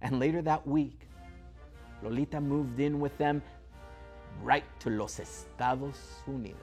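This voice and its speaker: A man's voice: mid-range voice, steady tone, a little slow, faint music behind. Dramatic spoken-word storyteller man. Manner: dramatic, rhythmic, with swings in loudness.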